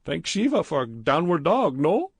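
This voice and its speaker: A man voicing a flirtatious, pompous middle-aged male hotel clerk with a put-on Indian accent, in a high, sing-song, overly formal way. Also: big pitch swings, unhurried, husky, no echo, exaggerated voice. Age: 40s.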